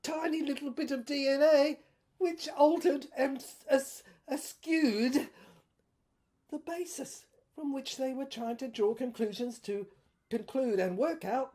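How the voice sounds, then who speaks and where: Defiant rebel soldier for audio drama, played by a woman: higher voice, argumentative, hammering points home by repetition. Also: measured pace, warm tone. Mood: insistent, agitated.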